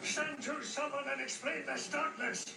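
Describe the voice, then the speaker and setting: High-pitched, haunted sea captain. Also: male voice, steady tone, moderate pace, creaky, heavily acted. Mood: frantic, angry. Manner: declaiming, fervent, imperious. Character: haunted, God-fearing male ship's captain, commanding yet unravelling. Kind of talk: radio drama acting. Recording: noisy, echoey, distant playback.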